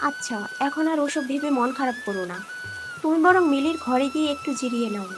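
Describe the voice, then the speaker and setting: A woman voicing a worried, sharp-tongued but caring village wife and mother in a cartoon story. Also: high-pitched, lively intonation, fairly quick, background noise. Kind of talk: dramatized cartoon story acting.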